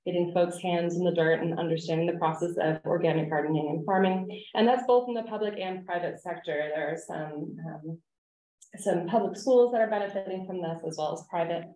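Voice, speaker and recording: A woman with a somewhat low voice explains community programs at a co-op's annual meeting. She talks steadily, with a few ums and restarts, and the room gives her voice a clear echo. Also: fairly quick, dark tone. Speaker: co-op staff presenter. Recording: echoey room.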